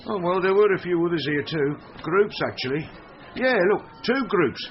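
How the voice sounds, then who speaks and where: An actor playing a chatty, blustering, slightly shifty British holiday camp manager in a radio comedy mystery. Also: male voice, high-pitched, expressive, normal speed, faint music behind. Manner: colloquial, jokey, blustering. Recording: dull lo-fi radio drama.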